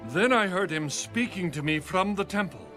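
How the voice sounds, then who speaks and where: A man's voice: high-pitched, animated, normal speed, music in the background. A man narrating scripture in a scripted, dramatic audio Bible style.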